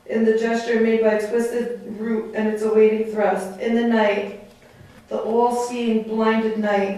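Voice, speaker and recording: A woman acts out a defiant enslaved island savage for a puppet show, in a fairly high voice. The delivery is declamatory and taunting, full of bitterness. It is heard at a distance in a very reverberant room. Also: warm tone, natural voice. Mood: reverent defiance. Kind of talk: theatrical puppet show dialogue. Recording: very echoey room, distant mic.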